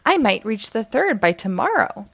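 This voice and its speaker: A woman's voice: fairly high pitch, very animated, moderate pace, creaky, husky, no room sound, heavily acted. A woman voicing a boastful, competitive male squirrel sailor in a children's storybook, with a smug, taunting manner.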